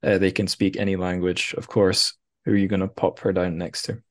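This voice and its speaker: A man's voice: deep voice, flat delivery, fairly quick. A man hosting an interview podcast in casual chat, hesitant and often correcting himself as he goes.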